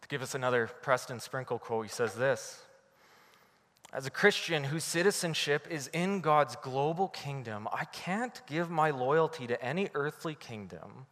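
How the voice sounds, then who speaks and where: A Canadian man preaching a sermon from the pulpit, telling a story in a lively, animated way. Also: medium pitch, normal speed, in a large hall. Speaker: pastor.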